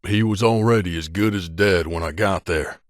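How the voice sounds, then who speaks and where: A man's voice: low voice, moderate pace, no echo, clean studio sound, close to an everyday voice. A man voicing a troubled, guilt-haunted wasteland companion in a video game, speaking in an earnest, plain-spoken way. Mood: guilty, confessional. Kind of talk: video game voice acting, dramatic dialogue.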